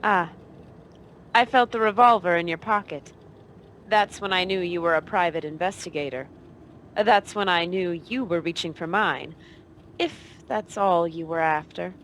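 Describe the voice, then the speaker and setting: An actress playing a tough, teasing femme fatale, cool and wisecracking, in a noir radio play. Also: female voice, on the low side, measured pace, a bit bright, slight background noise. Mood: cool, knowing.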